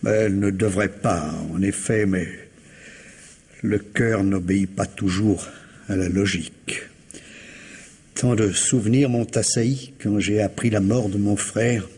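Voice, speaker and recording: A man's voice: lowish voice, steady tone, a little slow, a little mellow, slightly rough. An actor playing a reserved, courteous retired civil servant in his sixties in a French radio mystery, hesitant, formal and precise. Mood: wistful, bitter. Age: older.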